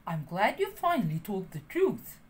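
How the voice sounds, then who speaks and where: A woman doing a gentle old woodcarver. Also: medium pitch, big pitch swings, normal speed, slight background noise, pitched below the performer's own voice, close to an everyday voice. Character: kindly old male woodcarver father.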